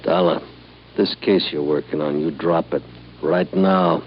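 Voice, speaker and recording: An actor playing a curt, threatening hired thug in a 1950s radio crime drama. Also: male voice, a bass voice, steady tone, a little slow. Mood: cold threat. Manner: curt, threatening, rough grammar. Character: menacing hired thug, a male strong-arm. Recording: dull, noisy old radio recording.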